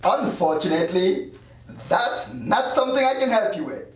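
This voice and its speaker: A man's voice: high voice, sing-song, normal speed. A man playing an evasive afterlife doctor in his thirties, read at a script table read. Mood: matter-of-fact. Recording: very echoey room, distant mic.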